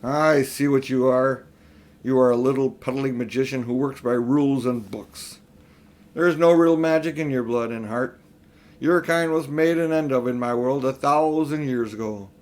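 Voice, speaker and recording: A man's voice: mid-range voice, moderate pace, darkish tone, close to an everyday voice. A man voicing a haughty, cruel witch queen in a children's storybook, domineering and scornful as she gives commands. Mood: commanding. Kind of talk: children's storybook read aloud.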